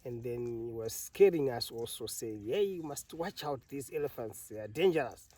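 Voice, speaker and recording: Zimbabwean man telling his story, mellow voice. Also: medium pitch, animated, no room sound, recorded from a distance. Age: middle-aged. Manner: laughs often, halting, plain storytelling. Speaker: elephant carer. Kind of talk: interview storytelling.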